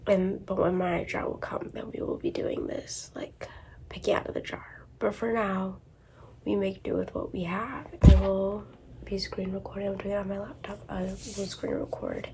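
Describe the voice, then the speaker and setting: A woman's voice: medium pitch, moderate pace, gravelly, whispered. A sick, congested woman chatting casually in a book vlog, rambling and thinking aloud as she goes. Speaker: book vlogger. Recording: steady background noise.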